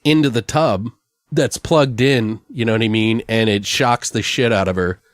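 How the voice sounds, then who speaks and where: A man's voice: fairly low pitch, moderate pace, no room sound, studio quality. A man co-hosting a podcast, casually improvising a comic story in a rambling, profane way. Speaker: podcast co-host. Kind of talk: casual comic brainstorming of a made-up slasher story.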